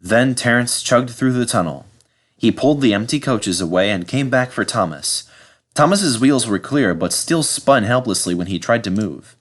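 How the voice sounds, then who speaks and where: Man narrating a children's story, mid-pitched. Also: animated, moderate pace. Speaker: storybook reader.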